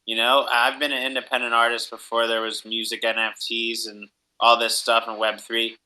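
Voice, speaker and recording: A man's voice: medium pitch, monotone, moderate pace, sharp tone, rough recording. A man hosting a podcast interview in an enthusiastic, encouraging, hype-man manner. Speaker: podcast host.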